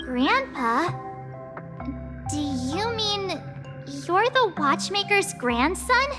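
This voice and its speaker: A tiny childlike companion in a fantasy game. The voice is extremely high and swings animatedly, yet takes its time. It sounds inquisitive over clear background music. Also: female voice, big pitch swings, very slow, full character voice. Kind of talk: video game dialogue acting.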